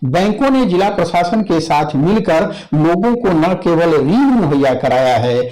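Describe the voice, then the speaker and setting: Male correspondent, slightly high voice with lively swings in intonation, scripted radio report. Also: unhurried, rough recording. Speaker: news correspondent.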